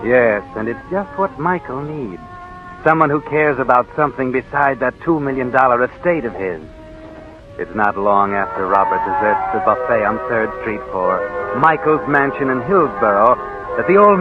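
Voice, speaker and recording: A man's voice: medium pitch, animated, normal speed, natural voice. A man playing a sardonic, insinuating narrator in a 1940s radio mystery drama, addressing the hero directly. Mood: knowing, ominous. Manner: insinuating, rhetorical questions. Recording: tinny old radio recording over music.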